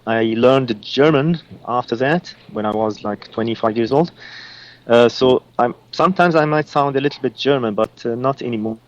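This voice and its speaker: A man from Lebanon with an Arabic-accented voice, giving psychic readings as a guest and pausing often with fillers. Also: medium pitch, highs cut off. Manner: halting, many uh and um fillers.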